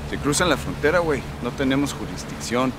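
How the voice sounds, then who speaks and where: An actor playing a loyal Mexican soldier with a Mexican accent, brisk and matter-of-fact, in a military game. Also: male voice, medium pitch, lively intonation, background noise, lightly acted. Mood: alert, tense.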